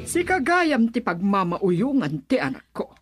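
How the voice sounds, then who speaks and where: A woman's voice: a little high, sing-song, unhurried, over music. A woman playing a haughty, domineering middle-aged mother of means in a radio melodrama, imperious and disdainful. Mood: cold, haughty. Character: haughty wealthy domineering mother of a grown son.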